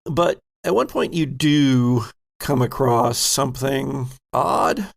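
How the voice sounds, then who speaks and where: A man narrating a tabletop game as game master, laid-back and wry, with pauses in his narration. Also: mid-range voice, lively intonation, gravelly.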